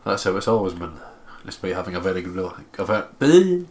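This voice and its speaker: A man's voice: sits low, big pitch swings, fast, darkish tone. A man co-hosting a let's play, casually chatting and reading story narration aloud. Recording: clear background noise.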